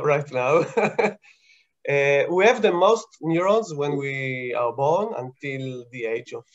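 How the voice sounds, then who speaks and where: A man's voice: mid-range voice, highs cut off. A man with an Israeli Hebrew accent, a scientist explaining things to children in a casual, friendly way, laughing often and checking in with "right?" and "you know?". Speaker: scientist answering children's questions.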